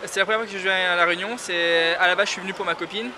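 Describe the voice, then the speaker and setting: Young male athlete, casual interview, high register, rapid speech. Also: fairly even intonation. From France.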